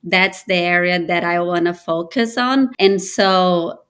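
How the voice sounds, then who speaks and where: A woman's voice: fairly low pitch, steady tone, takes it slowly, fairly bright tone, clean tone. Brazilian woman explaining things earnestly. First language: Portuguese. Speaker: guest, biotech founder. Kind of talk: interview answers.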